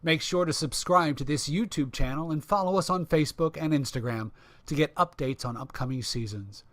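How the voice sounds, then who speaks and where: A man's voice: a little high, fairly quick, fairly bright tone, no echo. A man working as an announcer, reading a scripted radio show sign-off.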